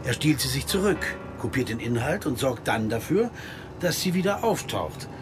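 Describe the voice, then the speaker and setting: A man's voice: medium pitch, big pitch swings, normal speed, close to an everyday voice. An actor playing an earnest American man who investigates a disappearance and narrates his search in a radio crime drama. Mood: intent, brooding. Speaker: first-person narrator and lead character. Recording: music behind the voice.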